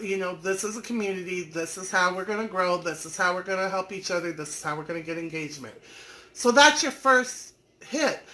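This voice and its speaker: Lady in her 40s on a live stream, bright voice, chatty and folksy, teasing viewers by name. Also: mid-range voice. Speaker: online business community host. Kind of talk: live stream chat, reading viewer comments aloud.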